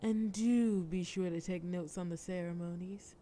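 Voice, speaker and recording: A woman's voice: on the low side, fairly even intonation, moderate pace, dark tone, slight background noise, lightly acted. A woman playing a cunning, flirtatious mafia boss in a scripted audio roleplay, sultry, persuasive and teasing, with cool threat. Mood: playful, flirtatious.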